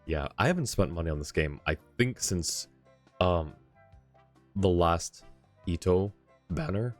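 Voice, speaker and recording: Man, deep voice, casual game streamer commentary, dry joking asides, close mic, faint game music behind. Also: animated, unhurried. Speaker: livestreamer.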